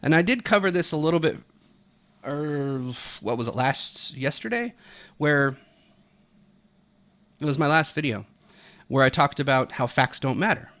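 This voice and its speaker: A man's voice: a little high, animated, unhurried, long pauses, fairly bright tone. An American man from Utah giving unscripted, opinionated video commentary in an emphatic, argumentative and casually profane way. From Utah, United States.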